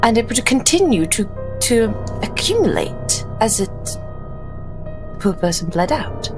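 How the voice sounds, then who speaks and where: A woman's voice: fairly high pitch, big pitch swings, slow, over music. A woman playing a flustered, hesitant genteel madam who stammers and exclaims in dismay, in a tabletop roleplaying game. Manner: stammering, theatrically aghast exclamations.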